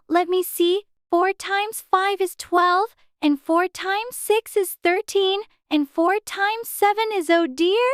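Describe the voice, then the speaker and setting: A woman's voice: very high voice, dry recording, clean studio sound. A woman voicing an anxious, chatty little girl in a dramatized storybook, fretfully talking to herself in prim childish exclamations. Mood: muddled, trying. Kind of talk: dramatized audiobook character acting.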